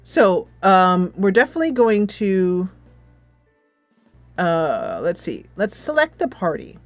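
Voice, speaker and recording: A woman's voice: fairly low pitch, very animated, takes it slowly, no room sound, soft background music. A woman gaming host giving casual commentary, thinking aloud as she plays a tactics game.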